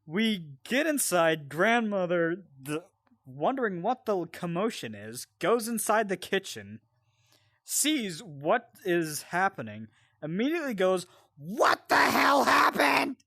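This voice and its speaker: A man with a fairly high voice tells a story from his own life in a casual, animated style. He swears freely and drifts into explanatory asides. The sound is dry, with very little room. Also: takes it slowly, vocal fry, no room sound. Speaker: storyteller and co-host. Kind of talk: casual personal storytelling with banter.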